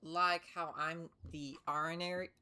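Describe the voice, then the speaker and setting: A woman's voice: deep voice, level tone, unhurried, pushed and bright, clear, resonant tone. A woman giving casual, playful commentary as she livestreams a video game, repeating words as she thinks aloud. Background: game music.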